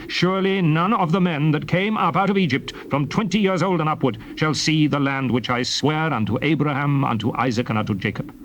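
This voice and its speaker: Male actor doing stern bible prophet, solemn and emphatic, scolding leader, slightly high voice, bit of cassette noise. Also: close to an everyday voice. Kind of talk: dramatized King James Bible reading. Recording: noisy old cassette tape.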